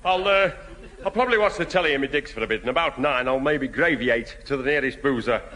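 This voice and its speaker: A bright, forceful male comic character, acted by a man, a boozy lodger who rambles and muddles his long words. It is vintage radio sitcom with faint hiss and a hall echo. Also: medium pitch, fast talker, lightly acted. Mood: chatty, jokey. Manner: rambling, malapropisms, hesitant asides. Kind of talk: 1970 radio sitcom acting. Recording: hissy old radio recording, echoey hall.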